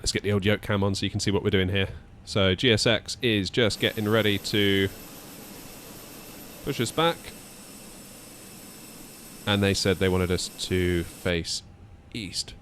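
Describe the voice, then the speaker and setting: A man's voice: deep voice, steady tone, a little slow, a bit bright. A man giving casual flight simulator commentary, thinking aloud in a chatty way as he hosts. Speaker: flight simulator video host. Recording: faint background noise.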